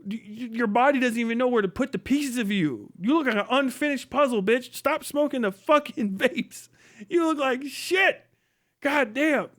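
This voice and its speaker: A man's voice: extremely high pitch, animated, moderate pace, fairly bright tone. A middle-aged man with a blunt, mocking manner, swearing casually through a comedic livestream rant. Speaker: live streamer, host.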